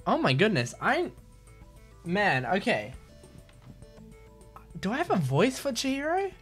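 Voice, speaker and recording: A woman's voice: mid-range voice, wildly swooping pitch, unhurried, husky, faint music behind. A woman streamer reading a video game's text aloud in a subdued way, with casual asides. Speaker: let's-play streamer.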